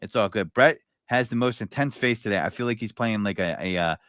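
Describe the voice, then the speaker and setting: A man's voice: lowish voice, fairly quick, fairly bright tone, smooth, dry recording, studio quality. A man hosting a casual live sports podcast, joking and loose, with plenty of fillers. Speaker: podcast host.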